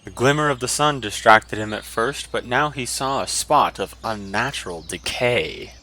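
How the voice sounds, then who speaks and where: A man's voice: medium pitch, normal speed, bright, forceful tone. A man narrating a fantasy story in a dramatic, scripted audiobook style.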